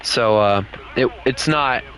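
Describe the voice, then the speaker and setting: A young man with a Southern US drawl streams a game and chats with the players he meets. His voice is bright and forward, and he rambles in a loose, candid way. A faint hiss sits under his talk. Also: mid-range voice, slow. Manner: rambling, candid, talks to other players while playing. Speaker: gaming streamer. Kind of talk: casual live-stream chat.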